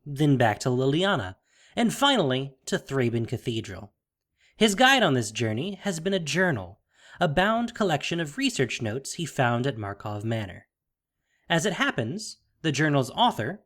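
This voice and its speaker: A man narrating a scripted fantasy audio drama with measured, theatrical phrasing. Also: a little high, a bit bright. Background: music.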